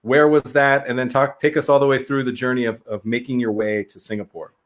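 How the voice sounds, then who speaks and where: A man hosts a casual interview podcast over a video call. He is upbeat and enthusiastic, and his voice sits in a middle pitch. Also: little pitch movement, a little fast. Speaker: podcast host, chef.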